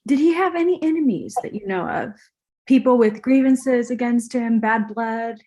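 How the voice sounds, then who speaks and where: Female homicide detective, played by an actress: businesslike, persistent, fairly high voice. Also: normal speed, mellow, close to an everyday voice. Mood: inquiring. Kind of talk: comedy script table read.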